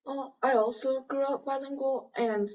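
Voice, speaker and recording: A woman's voice: high voice, fairly even intonation, moderate pace, a little mellow, dull audio. A teenage young woman whose first language is Chinese, speaking hesitantly and informally in a panel discussion with many fillers. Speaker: youth forum participant.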